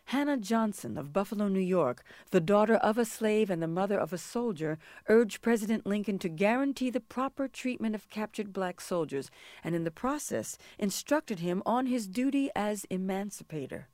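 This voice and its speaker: A woman narrating a scripted historical piece in a measured, formal way. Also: medium pitch, expressive, a little mellow, no room sound, clean studio sound.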